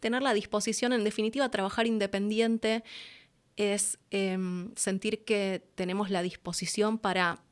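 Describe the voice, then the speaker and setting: An Argentine woman talks casually as a guest in an interview. Her delivery is expressive and reflective, and she slips into short acted-out quotes to illustrate what she means. Her voice sits in a middle register. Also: mid-range voice, normal speed, smooth. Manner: expressive, acts out little quotes as she explains.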